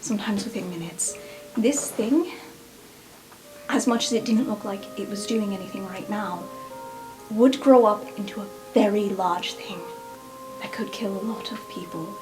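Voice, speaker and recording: A gentle fantasy adventurer speaks earnestly and patiently, as though consoling a child, played by a woman at a game table. The pitch is middling and music plays clearly underneath. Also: moderate pace, natural voice, low-quality recording. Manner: soft, patient, explanatory.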